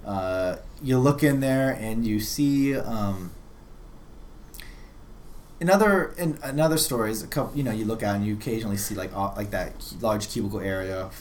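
A man running a tabletop roleplaying game, improvising scene narration in a halting, conversational way and often rephrasing mid-sentence. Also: on the low side, darkish tone, a little hiss or hum. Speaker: game master.

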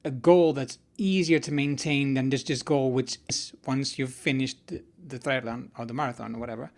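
A male interviewer talking conversationally, haltingly thinking aloud as he puts questions and sums things up. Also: mid-range voice, steady tone, a little mellow. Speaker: podcast interviewer.

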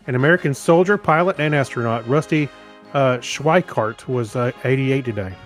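A man co-hosting a casual podcast, reading items and chatting haltingly, often stumbling over his words. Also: medium pitch, faint music behind. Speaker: podcast co-host.

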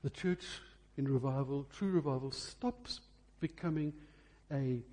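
Male preacher, mellow dark tone, husky edge, mid pitch, emphatic phrasing, sermon delivery. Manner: emphatic, deliberate phrasing with repetition for effect.

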